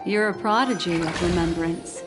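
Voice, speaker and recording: An actress playing an elegant, composed keeper of memories in a video game, speaking in a measured, refined, enigmatic way. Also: female voice, on the low side, close to an everyday voice. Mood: composed, teasing. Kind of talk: video game story acting. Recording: echoey long reverb, music behind.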